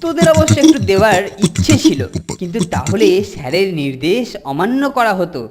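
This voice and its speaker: A woman's voice: medium pitch, very animated, fairly quick, noisy background, close to an everyday voice. A woman voicing a smug, greedy senior schoolboy bully in a cartoon, gloating, bossy and mock-dutiful. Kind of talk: cartoon voice acting. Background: background music.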